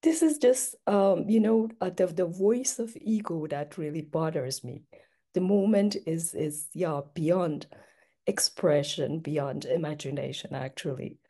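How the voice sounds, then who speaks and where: A woman's voice: low-pitched, unhurried, warm tone. A young woman from Iran, a native Persian speaker, talking on a video call in a hesitant, reflective way with many fillers. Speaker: participant. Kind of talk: casual chat. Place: home room, indoors.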